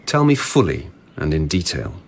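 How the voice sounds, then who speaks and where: An actor playing a blunt lieutenant in a radio drama, questioning insistently about a palace murder. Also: male voice, a bass voice, huge pitch swings, normal speed, a little hiss or hum, heavily acted.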